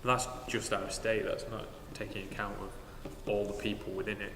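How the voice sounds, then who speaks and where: A man with a Northern English accent who manages university sustainability, speaking in a measured, matter-of-fact way on a live panel in a large church. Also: on the low side, level tone. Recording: echoey church hall with noticeable noise.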